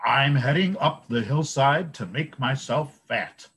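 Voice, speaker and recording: A man voicing the biggest billy goat in a storybook reading, announcing himself boldly and deliberately. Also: medium pitch, animated, a bit bright. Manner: slow, bold announcing.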